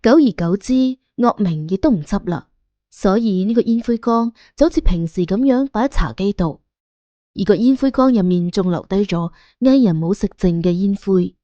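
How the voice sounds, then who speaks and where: Woman reading an audiobook story, mellow voice, bit lo-fi, roomy. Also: mid-range voice, moderate pace. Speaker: audiobook narrator. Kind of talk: scripted audiobook narration in colloquial storytelling.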